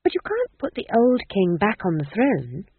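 Casting: junior witch, earnest and a little prim played by a woman, lively rising pitch, phone-like sound. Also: normal speed, slightly rough, no echo, full character voice. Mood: objecting. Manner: objecting, insistent, slightly prim. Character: earnest, anxious junior witch, female, younger. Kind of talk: audiobook character voice. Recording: thin, phone-like band-limited audio.

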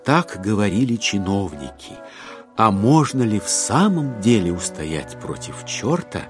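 Wry male narrator with highly animated delivery. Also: a little slow, faint music behind. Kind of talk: dramatized literary narration.

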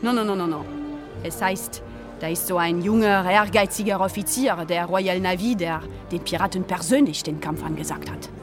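A woman's voice: lowish voice, moderate pace, natural voice. An actress playing a worldly French woman in a German audio drama, telling grim news with a French accent and dramatic relish. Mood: dismissive. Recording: studio radio drama with music underneath.

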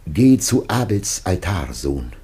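Very deep, husky dying old patriarch. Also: male voice, sing-song, takes it slowly, mellow, exaggerated voice. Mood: anguished, exalted. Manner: solemn, declamatory, grave. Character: Adam, ancient dying patriarch, solemn, anguished, tender father. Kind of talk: classic German radio play, declamatory verse acting.

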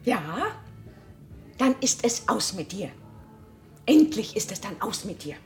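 Bitter, scornful wife. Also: female voice, medium pitch, very animated, slow pace, soft background music. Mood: bitter, outraged. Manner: accusing, cutting, emphatic repetition. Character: bitter, scornful wife of a company man, mother. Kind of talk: German radio drama acting.

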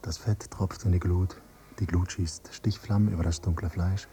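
A male actor playing a grown son, a teacher, who questions and narrates in a measured, probing way in a German radio drama. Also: low voice, steady tone, warm tone. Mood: sober, detached. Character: accusing grown son, a teacher probing his father's past.

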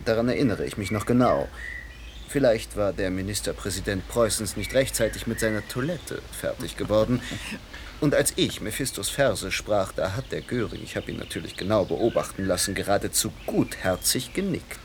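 A man's voice: fairly low pitch, expressive, normal speed, a little hiss or hum, natural voice. An actor playing a vain, theatrical male stage star who hosts guests at his country estate and declaims verse. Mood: reminiscing. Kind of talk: radio play acting.

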